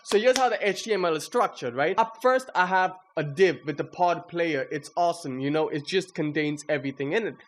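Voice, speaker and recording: A young man giving a casual, slangy walkthrough of his code, excitable and self-deprecating. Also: on the high side, fairly quick, few pauses, a little hiss or hum. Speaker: coder presenting own project. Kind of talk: casual screen-recorded coding walkthrough.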